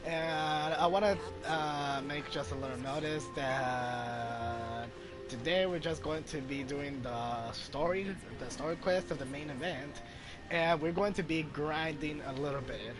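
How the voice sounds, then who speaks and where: A man's voice: a little high, measured pace. A man streaming a game, chatting casually in a rambling, hesitant way. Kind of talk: casual livestream chat over gameplay. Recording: game music under the voice. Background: video game music.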